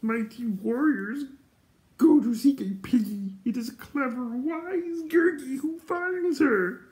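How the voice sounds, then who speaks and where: High, squealing, boastful little creature. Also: male voice, slow, a little mellow, low-quality recording. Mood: excited. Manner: rhyming, third-person self-praise in gleeful bursts. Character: small excitable male creature, boastful, fawning, childlike. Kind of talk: audiobook character voice.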